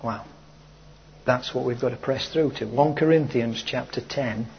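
A man's voice: mid-range voice, creaky. A man preaches to his congregation, voice fraying as he loses it. He takes his time and hammers lines home by repeating them. The tape is dull and lo-fi, with a faint hiss. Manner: slow, emphatic, repeats key phrases. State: losing his voice. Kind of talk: sermon. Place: church hall with a congregation.

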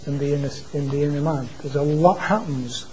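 A man gives an informal church sermon in a naturally quiet voice. His tone is mellow and a little higher than usual, and he often stops and restarts his words. Faint room ambience sits behind him. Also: steady tone, a little hiss or hum, low-quality recording. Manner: halting, repeats and restarts words. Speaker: preacher. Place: church meeting. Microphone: microphone being adjusted.

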